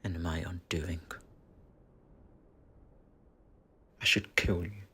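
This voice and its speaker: A man playing a menacing, mocking dark spirit in a possessed body, gravelly and husky, in a theatrical fantasy audio roleplay. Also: deep voice, fairly even intonation, a little hiss or hum. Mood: bitter anguish. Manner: theatrical, taunting, deliberate with dramatic pauses.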